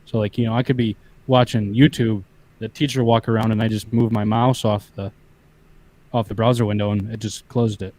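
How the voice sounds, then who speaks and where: A man's voice: fairly low pitch, level tone, a little fast, mellow. A man appearing as a guest on a casual livestream, chatting in a laid-back way.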